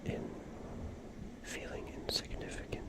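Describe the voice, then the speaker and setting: A person musing reflectively in a soft, breathy, unvoiced ASMR whisper, leaving long pauses between phrases. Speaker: ASMR creator.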